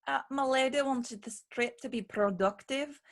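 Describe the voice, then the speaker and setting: A woman's voice: high voice, moderate pace. An actress playing a noblewoman's loyal, cheeky attendant in a radio play, with a dry, teasing manner. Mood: smug.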